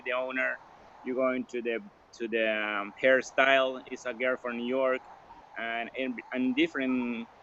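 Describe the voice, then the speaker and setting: A man from Costa Rica, a guest on a video call interview, who speaks haltingly and repeats words while he searches for them. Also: medium pitch, fairly bright tone, a little hiss or hum. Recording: muffled video call audio.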